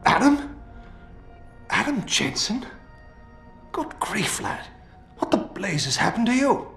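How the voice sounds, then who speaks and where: An actor playing an older British scientist in a video game, feisty and fatherly, with colourful, expressive delivery. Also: high voice, slow, full character voice. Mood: startled. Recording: roomy game audio with music.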